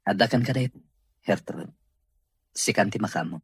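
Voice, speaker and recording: Very husky Ilocano man, acted, earnest and grateful. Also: on the low side, normal speed, no echo. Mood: hesitant, trusting. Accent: Filipino, Ilocano. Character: grateful, earnest male boss recovering as a therapy patient. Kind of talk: Ilocano radio drama acting.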